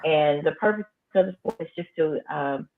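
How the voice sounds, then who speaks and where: A woman's voice: sits low, moderate pace. Firm-spoken principal woman, tinny sound. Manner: firm, emphatic, plain-spoken. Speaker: high school principal. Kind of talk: interview conversation.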